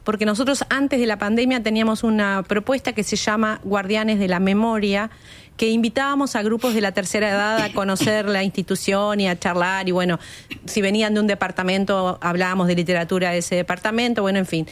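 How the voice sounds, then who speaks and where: A woman's voice: mid-range voice, steady tone, brisk. Eager woman telling a story. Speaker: librarian guest. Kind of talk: radio interview guest.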